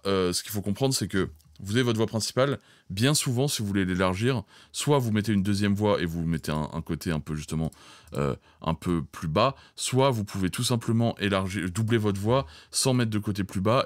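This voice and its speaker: A man's voice: low voice, fairly quick, no echo. A man teaching audio mixing in an informal, colloquial way, speaking directly to viewers into a close microphone. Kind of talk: informal spoken tutorial.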